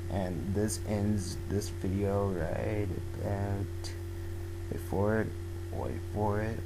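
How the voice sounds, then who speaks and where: A young man going hoarse, giving a rambling, casual talk about a manga full of "like" and restarts. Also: fairly low pitch, level tone, slow, noisy background. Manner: rambling, filler-heavy, restarts often. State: losing his voice. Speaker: manga video blogger. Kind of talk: casual spoken manga review. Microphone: home microphone.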